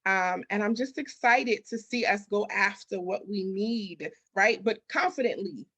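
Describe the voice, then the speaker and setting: A woman's voice: mid-range voice, expressive, unhurried, fairly bright tone. A woman speaking as a guest expert in a conversational interview, emphatic, firing off rhetorical questions and acting out bits of dialogue. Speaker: interview guest, business consultant and grant expert.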